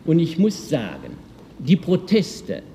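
A man giving a deliberate, emphatic public speech in a noisy archive recording from a reverberant hall. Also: on the high side, wildly swooping pitch, dark tone.

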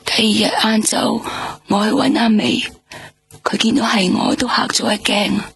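A woman playing a weary, gravelly nightclub hostess. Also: mid-range voice, little pitch movement, moderate pace, slight background noise, natural voice. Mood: weary, bitter. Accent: Hong Kong Cantonese. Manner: tired, defensive, sometimes whispering. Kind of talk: radio drama acting.

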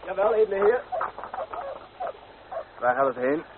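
A brisk, servile coachman in a radio play, with a highish, swooping voice. The recording is tinny and noisy. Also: expressive. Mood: deferential. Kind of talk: radio drama acting.

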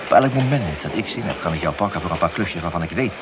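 An actor playing a hard-nosed, cynical police detective in a Dutch radio play, blunt, needling and sardonic in manner. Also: male voice, low voice, lively intonation, quick pace. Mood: menacing, sardonic. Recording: noisy, hissy old radio drama recording.

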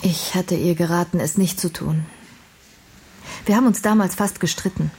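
A woman's voice: fairly low pitch, normal speed, darkish tone, breathy edge. An actress playing a blunt, earnest young intellectual woman in a radio crime drama, speaking precisely and formally. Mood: candid, matter-of-fact. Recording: music playing behind the voice.

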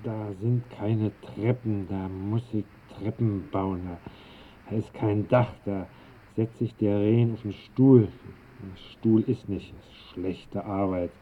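An actor playing an old, restless, eccentric master bricklayer who grumbles and rambles in a radio drama. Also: male voice, fairly low pitch, steady tone, takes it slowly, a little hiss or hum. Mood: muttering, brooding. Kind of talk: 1960s German radio drama acting. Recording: muffled band-limited old radio recording.

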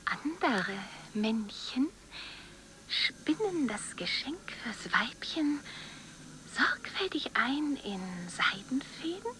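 A woman playing an eerie reciter of insect lore in avant-garde radio, with fragmented, often breathy phrasing. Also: sits high, very animated, slow pace, bright, forceful tone, a little hiss or hum, heavily acted, low-quality recording.

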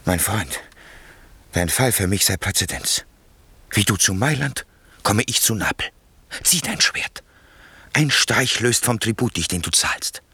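An actor playing a king's doubtful, ambitious brother in a German radio verse drama, with a rough voice and a wry, probing, theatrical delivery. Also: fairly low pitch, animated, a little slow, pauses often, bright, forceful tone, dry recording, full character voice. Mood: resolute, greedy. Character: idle royal brother, doubtful, then greedy conspirator.

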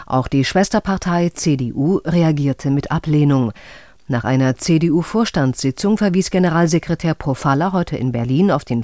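A newsreader reading a formal radio news bulletin in a measured, even voice. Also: male voice, fairly high pitch, no echo, studio quality.

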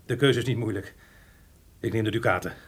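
An actor playing a sly, obsequious mercenary soldier in theatrical radio drama, with a husky voice and formal, deferential speech. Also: male voice, lowish voice, a little fast. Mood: sly, willing.

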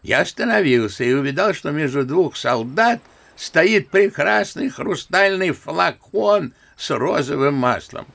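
An actor playing a genial elderly general in a radio play, sighing and reminiscing like an old-fashioned storyteller. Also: male voice, on the high side, lively intonation, measured pace, creaky, a bit bright, exaggerated voice. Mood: amused, animated.

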